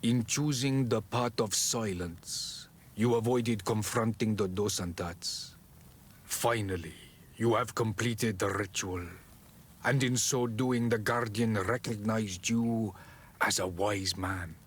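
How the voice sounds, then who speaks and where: An actor playing a wise, solemn elder of an island tribe in a fantasy game, speaking in a measured, ceremonial way. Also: male voice, fairly low pitch, slight background noise. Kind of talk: fantasy video game cutscene acting.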